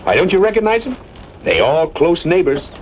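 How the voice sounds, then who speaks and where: An actor playing a cocky, easygoing cowboy gunhand in a western film, speaking in a folksy, needling drawl. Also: male voice, on the high side, huge pitch swings, moderate pace. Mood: smug, casual. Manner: folksy drawl, taunting, nonchalant. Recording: muffled, noisy old film soundtrack.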